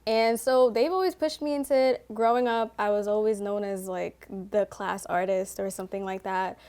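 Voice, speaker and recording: A woman's voice: mid-range voice, steady tone, moderate pace, a little mellow. A young woman from Trinidad, a painter chatting in an interview with many ums and likes. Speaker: painter, guest. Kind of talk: casual interview answers.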